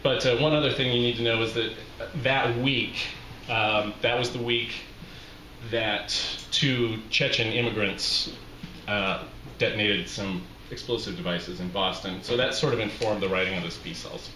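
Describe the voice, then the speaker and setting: Bright-voiced middle-aged American man, wry. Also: fairly low pitch, steady tone, normal speed. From Utah, USA. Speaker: reading series host, memoirist. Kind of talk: live reading of a personal essay, with spoken introduction. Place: small indoor bar room with brick walls. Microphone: handheld-style microphone on stand, close. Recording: roomy live venue, background noise.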